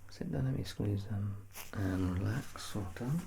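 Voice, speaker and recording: A soft-spoken man in a calm, reassuring ASMR medical exam roleplay, playing the examiner. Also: lowish voice, a little slow, creaky, background noise.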